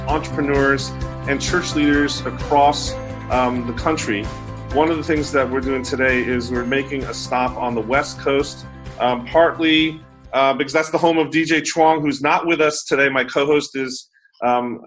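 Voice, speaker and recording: Chatty male podcast host, slightly high. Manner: chatty, many ums and uhs. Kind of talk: casual interview.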